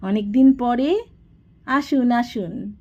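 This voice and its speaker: A woman's voice: a little high, slow, a little mellow, lo-fi sound, pitched above the performer's own voice. A woman voicing a friend's courteous, welcoming wife in an audio storybook, cordial and inquiring. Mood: cordial welcome.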